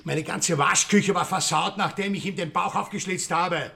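An actor playing a bitter, cornered Austrian village murderer in a radio crime drama, indignant and sneering. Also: male voice, high-pitched, normal speed, vocal fry, pushed and bright, exaggerated voice. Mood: gloating, bitter.

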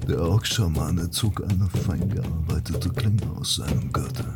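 Deep, slow male narrator. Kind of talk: scripted fantasy audio drama narration. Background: loud music.

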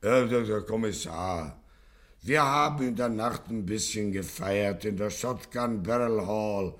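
An actor playing a stubborn middle-aged gangster boss in a 1950s radio play, gruff and demanding with a hard-edged gravelly voice. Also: male voice, fairly low pitch, a little slow, close to an everyday voice. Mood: gruff, defensive. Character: stubborn 55-year-old male gangster boss, blustering in jail.

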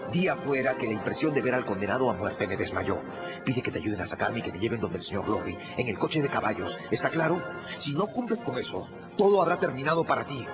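A man's voice: medium pitch, lightly acted. Radio drama lead: male actor as a selfless, commanding lawyer, widely swinging intonation, muffled sound over prominent music. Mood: stern, impatient. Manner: imperative, intense, theatrical delivery. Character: resolute self-sacrificing male lawyer, commanding and urgent. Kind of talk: Spanish-language radio drama acting. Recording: dull radio recording with loud music.

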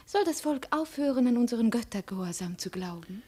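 An actress playing a cold, shrewd young princess with a commanding, ironic and probing manner, in 1950s radio drama style. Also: female voice, on the high side, animated, brisk, mellow, husky. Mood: suspicious, probing. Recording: 1950s radio studio recording.

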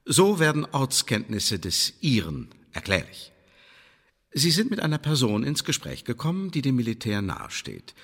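A shrewd Elizabethan spymaster in a radio play. He lectures with formal authority and emphasis, his mid-pitched voice rising and falling widely. Long reverb surrounds every phrase. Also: huge pitch swings, normal speed, fairly bright tone, breathy edge, exaggerated voice. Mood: instructive, emphatic. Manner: formal, didactic, emphatic instructing. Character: commanding male Elizabethan spymaster briefing an agent, shrewd. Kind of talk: German radio play acting. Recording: long reverberant hall echo.

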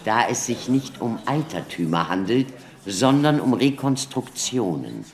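Slow, theatrical lecturing doctor. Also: male voice, mid-range voice, sing-song. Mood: instructive. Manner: declamatory, deliberate showman addressing an audience. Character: theatrical male doctor lecturing as a showman-demonstrator. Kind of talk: German radio play acting.

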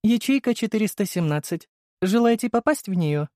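A polite young shopgirl, as a man narrator puts her on, with a raised, swinging pitch. She speaks with genteel courtesy and a coquettish touch, in a close, dry audiobook sound. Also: lively intonation, moderate pace, darkish tone, no echo. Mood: courteous. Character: polite, coquettish young woman shop clerk.

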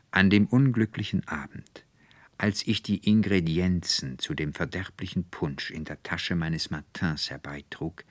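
A man's voice: low-pitched, fairly bright tone, no echo, full character voice. A man voicing a solemn, self-important official in a storybook reading, speaking with ceremonious, pompous formality.

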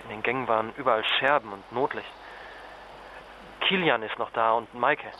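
A man's voice: medium pitch, moderate pace, highs cut off, slight background noise, natural voice. An actor playing a stressed, exhausted male journalist in a naturalistic radio drama, talking in an urgent, clipped, colloquial way. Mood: weary, reporting.

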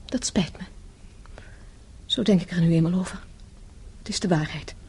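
A pragmatic grown daughter held captive in a radio play. Her voice is dark and husky, and she talks with firm, earnest composure. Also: on the low side, moderate pace. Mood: quietly resigned. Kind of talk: radio drama acting.

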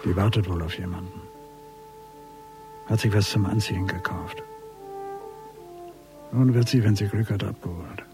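A middle-aged father hollowed out by grief and fixed on watching. He broods in short, terse phrases, as if talking to himself in a radio drama. Music plays clearly behind his words. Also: on the low side. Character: bereaved, embittered father obsessively watching his son's killer. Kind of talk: radio drama inner monologue.

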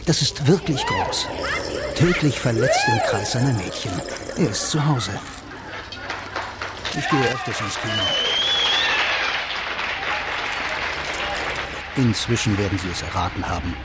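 An actor playing a blind, self-assured bank employee, confiding in the listener with dry irony in a radio drama monologue. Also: male voice, mid-range voice, wildly swooping pitch, moderate pace, a loud crowd, very noisy. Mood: rapt, then confiding, wry. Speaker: first-person narrator and lead actor.

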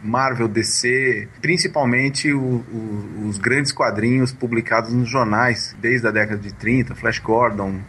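A man in his sixties, a comics artist guest in a casual interview, relaxed with dry jokes. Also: mid-range voice, unhurried, few pauses, slight background noise. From Brazil. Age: 60s.

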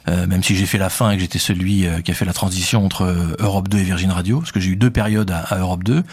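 A man with a Parisian accent, a radio host interviewed about his career, talking quickly with many fillers. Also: low voice, fairly even intonation, darkish tone, slightly rough. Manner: fast, hesitant with many fillers. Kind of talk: conversational interview.

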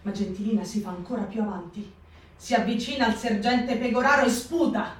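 A woman's voice: medium pitch, steady tone, brisk. A woman giving a firm, emphatic dramatic reading for a radio broadcast. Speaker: performer in a staged reading. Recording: echoey radio broadcast from far off.

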